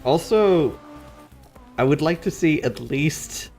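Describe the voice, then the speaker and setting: A man commentates casually on a video game, chatting as he plays. His pitch moves around a lot and he talks at a slightly unhurried pace, with faint music behind him. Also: lively intonation, darkish tone. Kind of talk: casual gameplay commentary chat.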